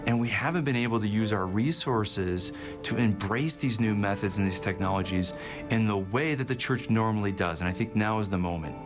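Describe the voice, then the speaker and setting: Low-voiced man over prominent music. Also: a little fast. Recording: loud music bed behind the voice. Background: prominent instrumental music with guitar.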